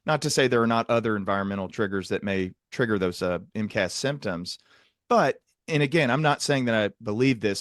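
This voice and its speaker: A man hosting a conversational interview in a reflective way, building long, qualified sentences with pauses. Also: on the low side, dry recording, studio quality. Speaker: podcast host, psychiatric provider.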